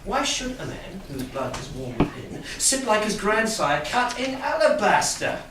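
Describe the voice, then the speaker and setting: A high-voiced, talkative Venetian joker acted by a man works through stage verse with lively swings of pitch. He is theatrical and teasing, recorded at a distance in an echoey room. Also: unhurried. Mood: playful, exhorting. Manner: theatrical, expansive. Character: talkative, merry, teasing Venetian gentleman who plays the fool. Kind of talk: staged reading of Shakespearean verse. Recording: echoey room, distant mic, faint noise.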